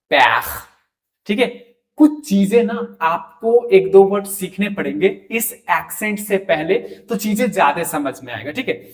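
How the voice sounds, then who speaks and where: A man with an Indian accent giving a French lesson to students. He keeps asking them questions and has them repeat words back to him. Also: sits high. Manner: talks to students directly and asks them to repeat after him. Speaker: French teacher. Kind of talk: classroom lecture.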